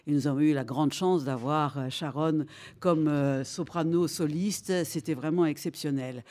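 A woman's voice: sits low, measured pace. An older woman hosting a talk, formally introducing a guest singer with some hesitations. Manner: warm, formal introduction with fillers.

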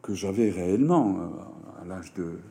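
Man, very slow, dark mellow voice, halting with fillers. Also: low voice, lively intonation, creaky, slightly rough. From Japan. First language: Japanese. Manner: hesitant, halting, with frequent fillers and restarts. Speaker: writer and French teacher, interview guest. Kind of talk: interview answers.

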